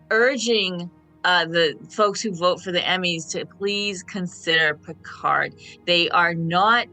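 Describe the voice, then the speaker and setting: Woman in her 50s, bright punchy voice, casual fan panel chat, really emphatic, says stuff twice. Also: lowish voice, poor audio. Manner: emphatic, repeats phrases for stress. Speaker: panel guest.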